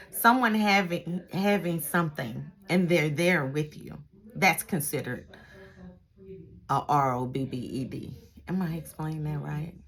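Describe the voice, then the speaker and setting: A woman's voice: on the low side, creaky. A middle-aged American woman reminiscing casually, acting out little exchanges and repeating phrases for emphasis. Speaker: vlogger, retired police dispatcher. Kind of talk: casual reminiscing chat to camera.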